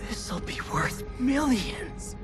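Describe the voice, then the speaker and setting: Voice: mid-range voice, sing-song, slow pace, over music. An actor playing a nervous, easily startled treasure-hunting sidekick in a cinematic video game, anxious and exclamatory. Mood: anxious, awed.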